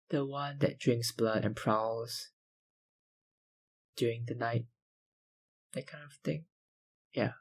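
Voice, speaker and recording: A man playing an obsessive, menacingly polite vampire researcher in an intimate ASMR roleplay, with deliberate, insinuating phrasing and a breathy voice that often drops to a whisper. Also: mid-range voice, poor audio. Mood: measured confiding. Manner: slow, deliberate, politely insinuating, with sighs and pauses.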